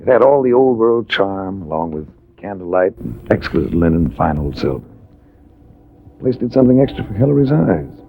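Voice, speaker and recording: A man's voice: low-pitched, sing-song, normal speed, vocal fry, full character voice. A man acting a suave, hard-boiled adventurer in a 1950s radio drama, with a wry, cool, tough-talking manner. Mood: wry narration. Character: suave, hard-boiled male adventurer narrating his own case. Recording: muffled, hissy vintage radio recording.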